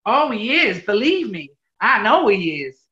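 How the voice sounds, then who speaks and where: A woman's voice: a little high, big pitch swings, a little slow, sharp tone, dull audio, exaggerated voice. A woman playing an earnest, cocky but nervy male tabloid reporter, hesitant yet insistent, in a 1940s radio comedy.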